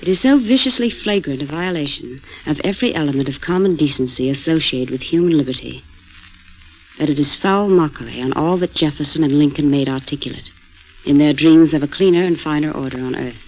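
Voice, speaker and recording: A woman's voice: deep voice, brisk, slight background noise. A woman giving a solemn, declamatory reading of a newspaper editorial in 1940s radio style. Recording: muffled vintage 1940s radio recording.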